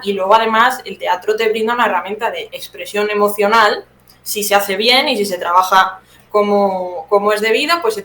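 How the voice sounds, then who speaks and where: A woman's voice: medium pitch, fairly bright tone, reverberant, slight background noise. A woman in her thirties with an accent from Spain, talking casually and reflectively as an interview guest, often adding tag questions. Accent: Spanish, from Spain. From Teruel, Spain. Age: 30s.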